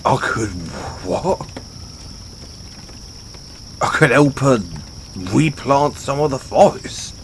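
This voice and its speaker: A man's voice: medium pitch, lively intonation, a little hiss or hum. A man playing a big, brash orc barbarian in a fantasy audio roleplay, speaking colloquially straight to the listener. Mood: defensive, flustered. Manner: colloquial, halting when defensive. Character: big, brash male orc barbarian, rambunctious but sheepish. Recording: nature ambience with crickets behind. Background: outdoor night ambience, crickets.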